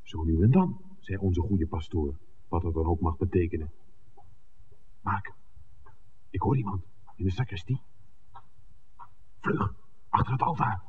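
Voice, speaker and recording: A shrewd film scout in a vintage radio drama. His deep voice moves expressively, with dry teasing and deliberate phrasing. The old recording is noisy and muffled. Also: lively intonation. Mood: wary, dry. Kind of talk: 1960s radio drama acting.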